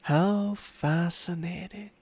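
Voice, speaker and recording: Casting: male actor for an ancient dragon familiar in ASMR roleplay. Unhurried, lighter-pitched male voice, breathy and teasing, smugly possessive yet doting. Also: a little mellow, no room sound. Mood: teasing, seductive. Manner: slow, teasing, breathy murmurs slipping into whispers. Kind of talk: ASMR roleplay, intimate personal attention. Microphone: close-up microphone with spatial panning.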